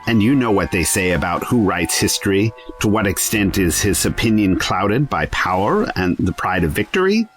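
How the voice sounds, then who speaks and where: Male actor as level-headed adventurer, lowish voice, wry, wordy, musing, measured delivery, audio drama. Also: big pitch swings, soft background music. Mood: wry, composed.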